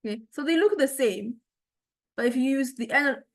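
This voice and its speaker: High-voiced woman lecturing, hesitant and conversational. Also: a little fast. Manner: hesitant, searching for words, conversational explaining. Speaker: university music technology lecturer. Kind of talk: informal lecture with software demonstration.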